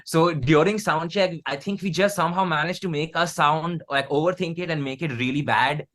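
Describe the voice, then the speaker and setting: Man, thirties, Indian accent, fairly high voice, casual chat, musician guest. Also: brisk, fairly bright tone.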